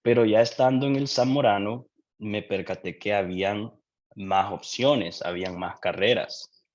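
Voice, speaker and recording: Young Honduran man, very low voice, lo-fi muffled sound, thoughtful interview guest. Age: young adult. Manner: reflective, unhurried, with frequent restarts. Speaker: guest, doctoral student. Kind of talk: casual interview answers.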